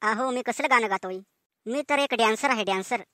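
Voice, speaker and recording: A man's voice: very high voice, a little fast, sharp tone, no room sound, clean studio sound. A man voicing a brash, boastful male cartoon contestant with a blustering, colloquial manner. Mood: eager. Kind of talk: comic cartoon acting.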